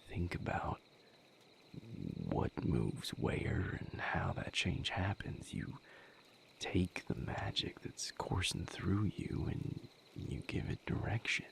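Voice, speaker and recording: A man playing a gentle, patient vampire healer in an intimate audio roleplay, speaking softly and reassuringly in breathy vocal fry with long pauses. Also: deep voice, slight background noise, full character voice. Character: gentle, patient male vampire healer mentoring his mate.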